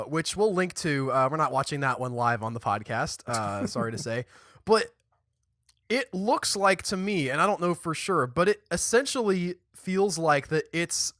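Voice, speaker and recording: Lively, bright-voiced man podcast host. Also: a little high, dry recording, clean studio sound. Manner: chatty, animated, restarts and stumbles mid-sentence. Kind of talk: casual chat.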